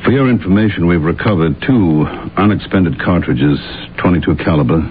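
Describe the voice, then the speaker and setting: An actor playing a courteous, businesslike police detective in an old-time radio drama, with formal, measured questioning. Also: male voice, deep voice, animated. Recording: tinny, noisy old radio recording.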